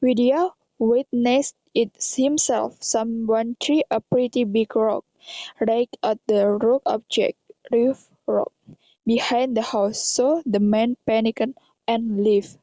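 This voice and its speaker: High, mellow Indonesian woman narrator, dull recording. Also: fairly even intonation, slow pace, no room sound. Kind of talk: scripted narration of amateur radio drama.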